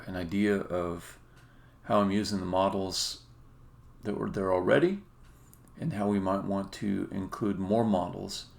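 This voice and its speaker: A man who develops indie games, talking casually and haltingly as he thinks aloud through a live-stream demo. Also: on the low side, vocal fry.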